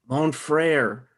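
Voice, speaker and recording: A woman's voice: low-pitched, slightly rough, exaggerated voice. A woman playing a wary, skeptical man in a comedy table read, questioning and reluctant. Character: wary, skeptical man named John, reluctant poison buyer.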